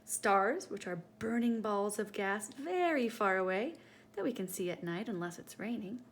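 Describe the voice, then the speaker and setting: Animated woman reading a children's picture book. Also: mid-range voice, moderate pace, slight background noise. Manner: animated, teacherly, addressing young listeners directly. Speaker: storytime host reading aloud to children. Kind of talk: expressive children's picture-book read-aloud.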